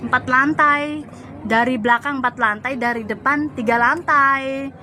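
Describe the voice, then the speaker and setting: Young javanese woman chatting, high bright voice, laughs a lot, bit of hiss behind. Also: normal speed. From Java, Indonesia. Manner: lively storytelling, laughs often. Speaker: former migrant domestic worker. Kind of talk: casual chat.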